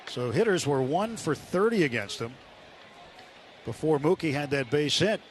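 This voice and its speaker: Higher-voiced baseball radio announcer, noisy ballpark. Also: male voice, animated, normal speed. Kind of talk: live radio sports commentary. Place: stadium broadcast booth. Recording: noisy outdoor ballpark broadcast sound. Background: loud ballpark ambience.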